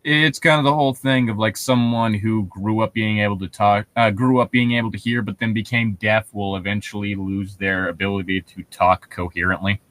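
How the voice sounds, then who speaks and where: A man's voice: lowish voice, steady tone, brisk, hardly any pauses, a bit bright. A man co-hosting a casual commentary chat, explaining things in a rambling way. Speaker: co-host.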